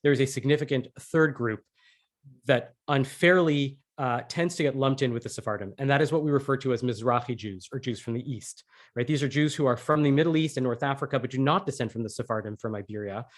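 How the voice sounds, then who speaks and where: A man's voice: mid-range voice, quick pace. Conversational male podcast host.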